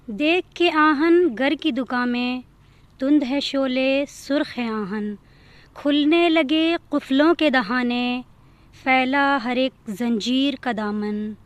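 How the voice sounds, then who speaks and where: A woman's voice: sits high, dry recording. A woman giving a recitation of Urdu poetry.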